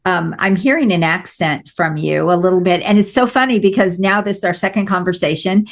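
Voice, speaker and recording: A woman with a light Missouri twang hosts a podcast interview in a chatty, enthusiastic way, sprinkling in small affirmations. Her voice sits a little low for a woman. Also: fairly quick. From Missouri, USA. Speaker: podcast host. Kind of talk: conversational interview.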